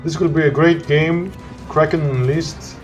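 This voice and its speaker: A man with a Greek accent, a non-native English speaker, narrating his gameplay in halting sentences full of "uh"s. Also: fairly high pitch, fairly even intonation, faint music behind. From Greece. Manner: halting, searching for words with frequent "uh". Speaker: gameplay commentator. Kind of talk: gameplay commentary.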